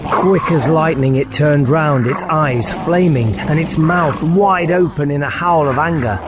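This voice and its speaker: A man's voice: fairly high pitch, noisy background. A man reading a children's fantasy audiobook as narrator, in a dramatic storytelling style.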